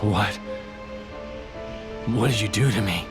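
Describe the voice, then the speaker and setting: An actor playing a weary, cold, brooding warrior antagonist in a video game cutscene. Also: male voice, fairly low pitch, takes it slowly, music in the background. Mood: guarded.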